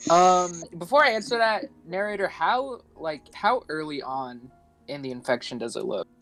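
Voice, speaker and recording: A man's voice: high-pitched, expressive, normal speed, a bit bright, faint music behind, low-quality recording. A man playing a tabletop game, hesitantly narrating what his character does and says. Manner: hesitant, piecing sentences together.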